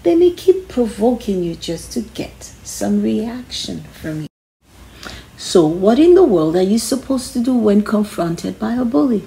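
Slow-paced woman reading to children, lively pitch. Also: creaky, rough recording. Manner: instructive, emphatic on key phrases. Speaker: children's book reader. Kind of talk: read-aloud of a children's self-help book.

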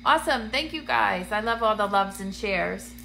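A woman's voice: medium pitch, expressive, moderate pace, creaky, sharp tone, a little hiss or hum, rough recording. Congested woman chatting on a phone live stream. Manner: chatty, greets viewers by name, many ums. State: congested, getting raspy. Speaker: medium hosting a live stream. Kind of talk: casual livestream chat to viewers. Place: dim indoor room, seated on a couch. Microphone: phone camera at arm's length.

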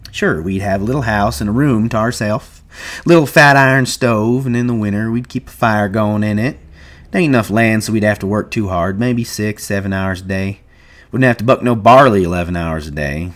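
A man's voice: on the low side, moderate pace, natural voice. A man as a plain-spoken, folksy ranch hand. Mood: warm, dreamy. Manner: plain-spoken, folksy, rustic American speech. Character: shrewd, guarded, dreaming American ranch hand.

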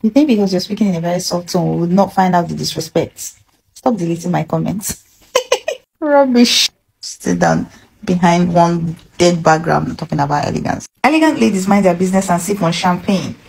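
Scornful woman with a creaky voice. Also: lowish voice, lively intonation, normal speed, darkish tone. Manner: scornful, confrontational. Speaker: social media creator. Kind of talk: direct-to-camera rebuke. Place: small room indoors, lying back. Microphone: phone at arm's length. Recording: noisy phone recording.

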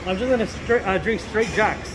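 A man's voice: high voice, moderate pace, music in the background. A man vlogging casually with a friend in a large gym, joking and swearing, laughing often.

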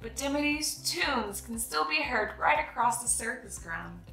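Young female read-aloud host, bright lively delivery, dramatic storybook phrasing, recorded in a roomy space. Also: high-pitched, unhurried, creaky, faint music behind. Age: young adult. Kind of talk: expressive picture-book storytelling.

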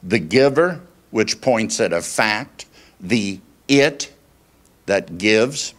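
A man in his sixties speaks as a pastor on the low side of male pitch. He takes his time, setting out short deliberate phrases and turning to the congregation with questions. The style is conversational preaching in a small church room. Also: expressive, a bit bright. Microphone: handheld microphone held at chest.

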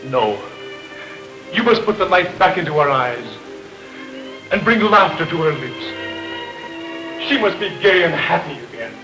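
A man playing an anguished, guilt-ridden, lovesick gentleman in melodramatic 1930s film style. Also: fairly high pitch, sing-song, measured pace, long pauses, low-quality recording. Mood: desperate, pleading. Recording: old 1930s film soundtrack. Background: music and rain.

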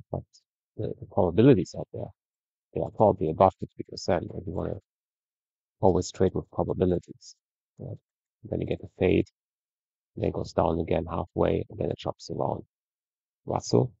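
A man with a very low, heavily gravelly voice talks through trading charts in an easy, conversational way. His tone is husky and dark, and he often tags his points with a questioning "right?". The recording is slightly dull and lo-fi. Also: moderate pace, pauses often, no room sound. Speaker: trading educator. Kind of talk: screen-share trading review.

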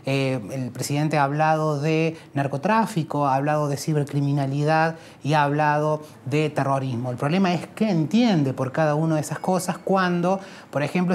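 A man's voice: fairly high pitch, darkish tone, a little hiss or hum. A man in his thirties with an Argentine accent, giving earnest, argumentative interview answers in an indoor room. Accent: Argentine Spanish. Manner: earnest, argumentative, rising emphasis on key points. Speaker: lawyer and researcher, interviewee.

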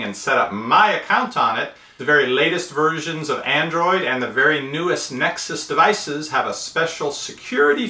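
A man's voice: on the high side, bright, forceful tone. A man in his fifties hosting a tech tutorial, explaining each step methodically in a small room. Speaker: host of a tech tutorial channel. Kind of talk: step-by-step how-to instruction. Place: small indoor room, seated at a table. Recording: roomy indoor echo.

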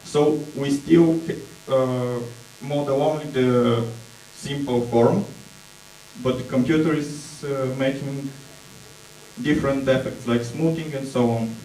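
A Bulgarian man in his thirties giving a conference presentation in English, hesitant and pausing to search for words. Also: mid-range voice, steady tone, mellow. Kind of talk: slow conference talk with slides. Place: dark auditorium stage. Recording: echoey hall, distant mic, mains hum.

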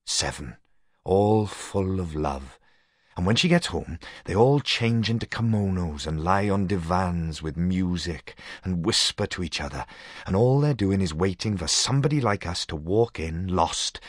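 A man's voice: sits low, lively intonation, measured pace, dry recording, studio quality. A man playing a dreamy, melodramatic young man in a story, speaking in a wistful, florid way.

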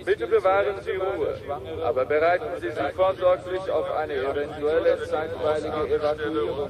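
Police announcer: official, highish male voice through a loudspeaker, radio play. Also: slight background noise, low-quality recording. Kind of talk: formal public announcement.

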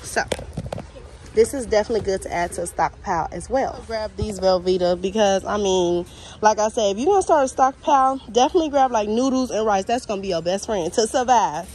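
A woman's voice: mid-range voice, fairly quick, a little mellow. A young American woman chatting informally and directly to viewers, as in a casual shopping vlog. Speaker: vlogger. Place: inside a large warehouse store. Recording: faint store ambience noise.

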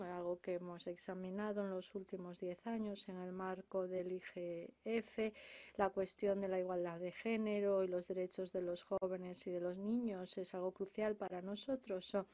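Slow, halting woman interpreter. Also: medium pitch, darkish tone. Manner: halting, frequent filler sounds and restarts. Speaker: conference interpreter. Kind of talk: simultaneous interpretation of panel speeches.

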